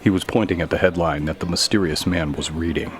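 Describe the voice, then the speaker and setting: Low-voiced male story narrator. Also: fairly even intonation, quick pace, a faint crowd. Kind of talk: scripted narration of a mystery story. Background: faint music.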